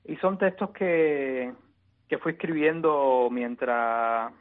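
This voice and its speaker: A man with a Cuban accent, an exiled poet answering interview questions, speaking haltingly with many restarts and repeated words. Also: mid-range voice. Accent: Cuban Spanish. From Cuba. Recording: tinny phone-line audio.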